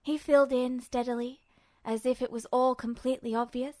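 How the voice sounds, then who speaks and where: A woman's voice: sits high, steady tone, a little fast, warm tone, no room sound, studio quality. A woman narrating a story as a scripted audiobook-style reading. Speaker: podfic narrator.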